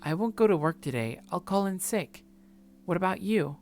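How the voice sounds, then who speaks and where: Visual novel role: possessively sweet young woman played by a man, high-pitched, soothing and coaxing. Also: lively intonation, moderate pace, darkish tone, soft background music. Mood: affectionate, casual. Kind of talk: visual novel character read-aloud.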